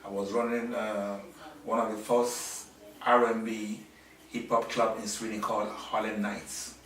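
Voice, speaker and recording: A middle-aged man from Nigeria talks slowly in a lowish voice, stopping and restarting often as he answers an interviewer. He sounds set back from the mic in an echoey room. A faint hum of ambience runs behind him. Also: flat delivery, a bit bright, recorded from a distance. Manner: halting, many fillers and restarts. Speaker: interviewee, businessman.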